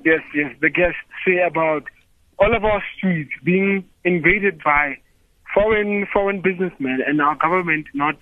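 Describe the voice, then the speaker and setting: A man's voice: high voice, normal speed, no echo. A man from South Africa calling in to a radio show, flustered and repeatedly correcting himself. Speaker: caller. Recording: tinny phone line.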